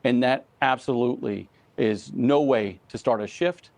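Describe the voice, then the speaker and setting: A man speaking as a union spokesman in a news interview, earnest, measured and emphatic. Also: mid-range voice, creaky, darkish tone, no room sound. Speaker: union president, interview guest. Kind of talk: live news interview answers.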